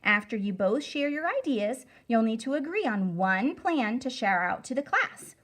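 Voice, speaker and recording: A woman reading a storybook aloud to children as a teacher, deliberate, emphatic and instructive. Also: fairly high pitch, very animated, a bit bright.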